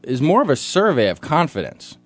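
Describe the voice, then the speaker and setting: A man's voice: a little high, wildly swooping pitch, a little fast, soft background music. A man co-hosting a casual interview, thinking aloud with many restarts and joking asides.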